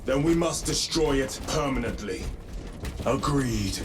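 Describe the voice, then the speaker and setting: An actor playing a stern, resolute veteran space marine lieutenant in a video game, speaking in grave, terse, formal lines. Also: male voice, medium pitch, expressive, unhurried, music in the background, close to an everyday voice. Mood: grim. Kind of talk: video game cutscene acting.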